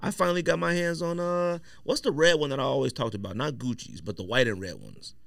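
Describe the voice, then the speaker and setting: A man's voice: medium pitch, expressive, fast talker, gravelly, fairly bright tone, dry recording, studio quality. A middle-aged American man hosting a podcast, chatting casually in a slangy, opinionated way. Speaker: podcast host. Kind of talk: casual chat about sneakers. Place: podcast studio.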